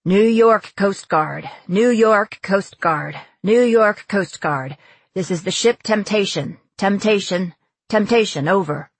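A woman playing a tense, gruff male ship captain in an audiobook, with loud, clipped delivery as if calling over a radio. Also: mid-range voice, slow, dry recording. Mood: commanding.

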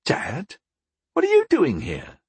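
A man voicing a young adult wizard son in an audiobook, earnest and questioning, quick to be startled or indignant. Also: medium pitch, wildly swooping pitch, fairly bright tone, breathy edge, no room sound, full character voice. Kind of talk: audiobook character dialogue.

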